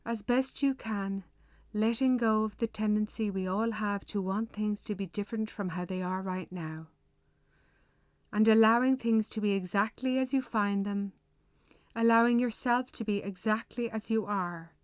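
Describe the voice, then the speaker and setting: A woman leading a guided meditation with measured, unhurried, gently instructive delivery. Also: medium pitch, dry recording, clean studio sound.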